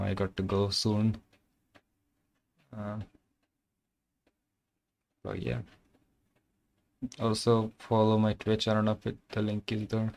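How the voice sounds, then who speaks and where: A man's voice: lowish voice, gravelly, a little mellow. A young man from Kerala with an Indian accent and Malayalam as his first language, chatting casually with viewers on a game stream, full of fillers and loose phrasing. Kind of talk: casual live-stream chat. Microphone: condenser microphone.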